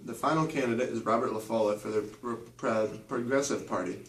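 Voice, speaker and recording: A man's voice: medium pitch, brisk. A man reading scripted news as co-anchor of an amateur newscast. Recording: echoey, noisy room, distant mic.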